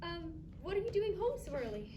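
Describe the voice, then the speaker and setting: Very high girl playing a festive wife. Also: big pitch swings, brisk. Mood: cheerful. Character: cheerful, festive, loving housewife baking for Christmas. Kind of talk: staged reader's theatre performance. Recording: distant echoey noisy live stage recording.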